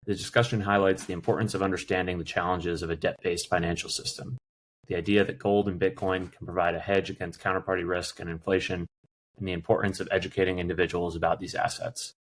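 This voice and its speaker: A man reading a scripted episode summary aloud in an even announcer delivery. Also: deep voice, quick pace, a little hiss or hum. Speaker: show announcer.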